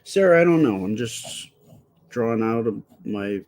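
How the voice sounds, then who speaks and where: A man with a quiet, soft voice chatting casually with viewers during a live stream while he draws. He rambles and stops to restart his sentences, speaking close to the microphone. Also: on the low side, expressive. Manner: rambling, halting, with many fillers and restarts.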